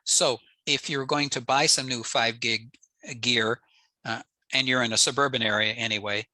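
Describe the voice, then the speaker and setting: A man's voice: medium pitch, steady tone, moderate pace, bright, forceful tone, no echo, low-quality recording. An older American man giving an informal technical slide presentation in a matter-of-fact, plain-spoken way. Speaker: presenter, amateur radio enthusiast. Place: indoors, on a video call.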